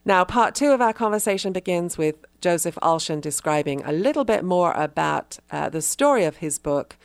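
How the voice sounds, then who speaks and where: A woman's voice: fairly low pitch, lively intonation, moderate pace, clear, resonant tone. A woman presenting a broadcast interview as the host, introducing her guest and putting questions to him. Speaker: radio talk show host, interviewer.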